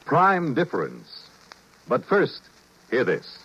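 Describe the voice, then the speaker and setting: A man opening a science fiction radio show with a slow, grand, portentous introduction. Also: medium pitch, wildly swooping pitch, a little hiss or hum. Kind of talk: dramatic scripted introduction. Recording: dull vintage radio with loud music.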